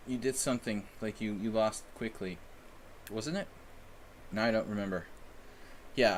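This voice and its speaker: A man's voice: fairly low pitch, a little hiss or hum. Chatty American man streaming chess. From United States. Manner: chatty, rambling, self-deprecating. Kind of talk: casual live-stream chat.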